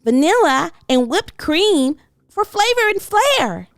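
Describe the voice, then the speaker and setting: A woman's voice: extremely high pitch, big pitch swings, takes it slowly, no room sound, clean studio sound, pitched above the performer's own voice, heavily acted. A woman voicing a tiny, eager little girl who makes polite but bossy demands in a children's storybook. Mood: eager, insistent. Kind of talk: children's storybook read-aloud.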